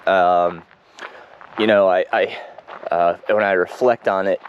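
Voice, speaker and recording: A man talks through a casual video diary in a lowish, congested, froggy voice. He pauses often, filling gaps with ums as he reflects. The recording sounds dull and lo-fi, with a faint hiss underneath. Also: steady tone. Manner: halting, many ums and restarts, reflective. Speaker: running vlogger.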